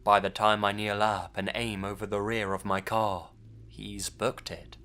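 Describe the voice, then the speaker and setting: Low-voiced male horror story narrator. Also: completely flat delivery, a little slow, sharp tone.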